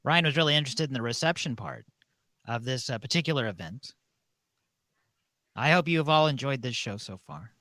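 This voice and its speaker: A man's voice: medium pitch, lively intonation, a little fast, pauses often, sharp tone, no room sound. A man casually hosting a call-in show, chatting with guests and callers.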